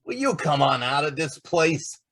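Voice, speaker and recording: An actor playing a brash, impatient star reporter in an old-time radio drama, with a blustery manner. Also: male voice, a little high, big pitch swings, a little slow, fairly bright tone, full character voice.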